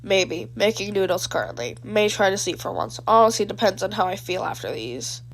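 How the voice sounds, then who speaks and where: Tired teen boy played by a woman for audio fan fiction: low-key, flat, pitched down. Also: brisk.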